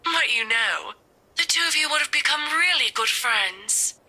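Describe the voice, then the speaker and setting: A woman acting as a warm, wistful middle-aged mother in a video game, affectionate and gently coaxing. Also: high voice, animated, unhurried, full character voice, poor audio. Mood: fond, wistful.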